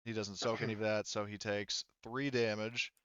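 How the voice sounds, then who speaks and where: A man's voice: fairly low pitch, little pitch movement, normal speed, a bit bright, clear, resonant tone, no echo. A man running a tabletop roleplaying game, casually narrating and making rulings as he thinks aloud, on a slightly dull microphone. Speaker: game master.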